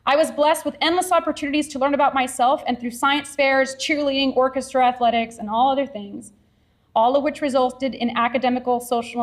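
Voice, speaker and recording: A woman from Texas, a science teacher, giving an earnest, measured award acceptance speech at a ceremony podium. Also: high-pitched. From Edinburg, Texas.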